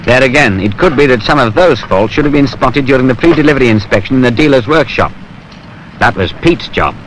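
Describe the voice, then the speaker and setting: A man's voice: mid-range voice, expressive, brisk. A British man reading plain-spoken, matter-of-fact, colloquial narration for a training film. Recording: noisy 1970s film soundtrack.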